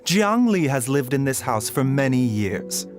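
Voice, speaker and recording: A man voicing a composed, scholarly healer in a video game, soft and measured, courteous with a sly teasing formality. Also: mid-range voice, big pitch swings, music in the background. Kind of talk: video game character acting.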